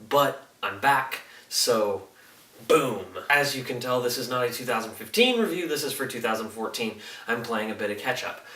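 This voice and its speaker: A man's voice: medium pitch, expressive, moderate pace, pushed and bright, slight background noise. A man giving a casual, unscripted movie review as a video host, speaking enthusiastically and emphatically with informal slang. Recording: roomy echo, distant microphone.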